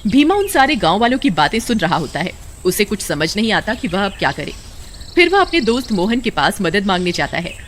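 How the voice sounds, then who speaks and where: A man's voice: high-pitched, big pitch swings, brisk, a little hiss or hum. A man narrating a scripted story as its storyteller. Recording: loud music under voice. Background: loud music.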